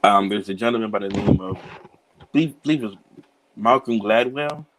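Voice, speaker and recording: A man in his thirties from Cincinnati, a children's book author answering interview questions in a relaxed way, laughing easily and filling pauses with "um" and "you know". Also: on the low side, poor audio. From Cincinnati, USA.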